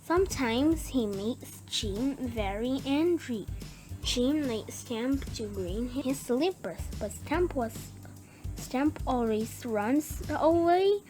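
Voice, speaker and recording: A woman reading a children's bedtime story aloud in short, halting phrases, word by word. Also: high voice, animated, warm tone. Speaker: story reader. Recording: music playing behind the voice. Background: music.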